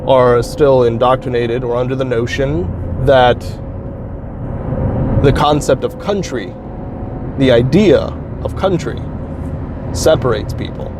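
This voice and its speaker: A man from Turkey hosting his own channel, talking through an idea in a slow, reflective monologue with frequent pauses and restarts. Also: medium pitch. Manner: halting, thoughtful, frequent restarts. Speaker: channel host. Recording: clear traffic noise outdoors.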